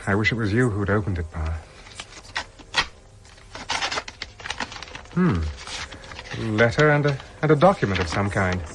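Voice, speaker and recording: A man's voice: fairly low pitch, lively intonation, brisk, noisy background, lightly acted. Diffident, polite English captain played by a man. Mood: pensive. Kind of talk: British radio drama acting.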